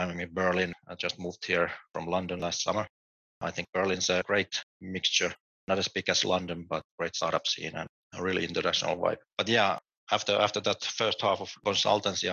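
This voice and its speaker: A man's voice: low voice, vocal fry, pushed and bright, no echo. A middle-aged man from Finland, explaining things steadily in a podcast interview with small restarts. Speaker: guest, tech executive. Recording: dull, lo-fi dry audio.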